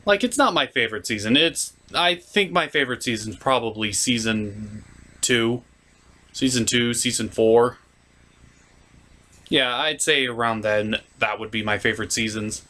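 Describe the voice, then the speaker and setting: A man's voice: medium pitch, a little slow, pushed and bright. A man giving a casual, opinionated cartoon review as an online host, blunt and a bit showy.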